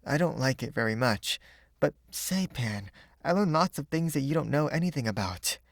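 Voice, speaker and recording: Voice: medium pitch, expressive, moderate pace, gravelly, dry recording, studio quality, pitched above the performer's own voice. A reader voicing a cheerful schoolboy in a children's story. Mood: plain. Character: schoolboy, cheerful but embarrassed. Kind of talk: storybook read-aloud.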